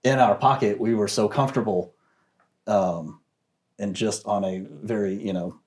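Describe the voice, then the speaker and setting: A man speaking as a guest in a discussion, reflective and soft-spoken, with many pauses and fillers. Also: lowish voice. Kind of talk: casual discussion.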